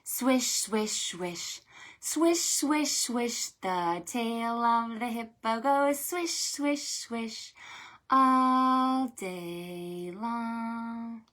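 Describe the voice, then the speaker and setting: A woman leads an interactive storytime for small children. Her voice is bright and a little high, and she speaks very slowly with sing-song exaggeration. She asks questions, leaves room for answers and encourages her young listeners. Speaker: children's librarian hosting preschool storytime.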